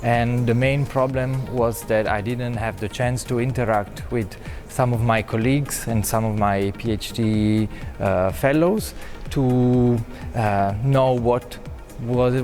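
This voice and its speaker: A man from Italy, a doctoral researcher, giving earnest recorded interview answers with some halting phrasing. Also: mid-range voice, fairly even intonation, a little mellow, faint music behind. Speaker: PhD researcher.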